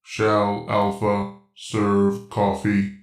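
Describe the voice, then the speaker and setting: A man playing an obedient robot servant in a radio drama, speaking in a flat, stilted way and calling itself by name. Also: fairly low pitch, extremely slow. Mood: flat, servile. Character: slow obedient robot servant made of aluminum. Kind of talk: old-time radio drama acting. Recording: echoey, with music behind.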